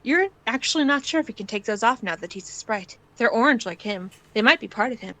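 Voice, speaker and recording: A woman's voice: fairly high pitch, lively intonation, fairly quick, fairly bright tone, low-quality recording. A woman reading scripted second-person fan fiction aloud as the narrator.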